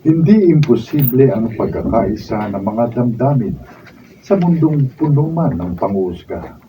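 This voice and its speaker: A Filipino man narrating as a radio drama announcer, dramatic and deliberate, reading scripted closing lines. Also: mid-range voice, big pitch swings. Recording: muffled distant mic, faint noise.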